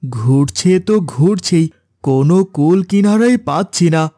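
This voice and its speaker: A man acts a humble village van driver for a horror cartoon. The voice sits high and moves slowly, with a dry sound. He comes across as a polite, worried, hardworking father. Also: lively intonation, mellow, no echo. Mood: weary, worried. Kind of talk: Bengali horror cartoon dialogue.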